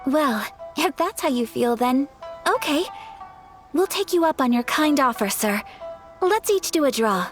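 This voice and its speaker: A woman voicing a polite, earnest young noble lady in a game cutscene, speaking formally and softly. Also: high-pitched, expressive, measured pace. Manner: formal, soft-spoken. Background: faint game music.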